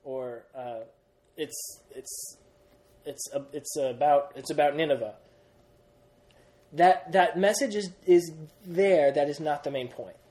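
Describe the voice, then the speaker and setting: A young man leading a Bible study class, energetic, quizzing the group and often restarting his sentences. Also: on the high side, slow pace. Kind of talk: classroom Bible lesson with questions.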